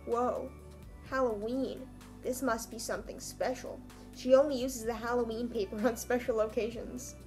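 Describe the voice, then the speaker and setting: A woman's voice: on the high side, fairly even intonation, normal speed, darkish tone, soft background music. A young adult woman reading a story aloud in a comedic, mock-dramatic, self-mocking way with casual asides. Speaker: vlogger reading her own old story aloud.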